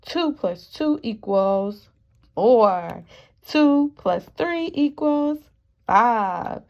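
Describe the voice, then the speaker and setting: A woman teacher reading a picture book aloud to young children, expressive and encouraging, often asking the listeners questions. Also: on the high side, very slow. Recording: dull, muffled lo-fi sound.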